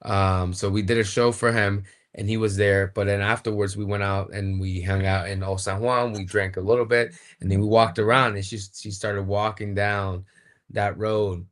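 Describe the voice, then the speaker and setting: A man with a fairly low voice speaks as a guest in a casual interview. He is plain-spoken and explanatory, telling stories conversationally. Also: little pitch movement, normal speed, fairly bright tone.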